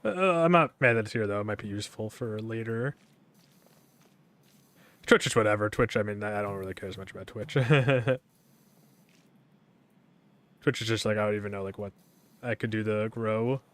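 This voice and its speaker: Rambling low-voiced young man streamer. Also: moderate pace, long pauses, fairly bright tone. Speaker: gaming streamer. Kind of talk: casual rambling stream commentary.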